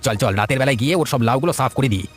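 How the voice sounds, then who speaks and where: A man plays a vengeful, brash villager in a cartoon tale. He talks extremely fast at a middling pitch, indignant and boastful. Faint music sits behind him. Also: natural voice. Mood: brash, scheming. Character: resentful, vengeful village husband, brash vegetable thief. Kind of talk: cartoon folk-tale voice acting.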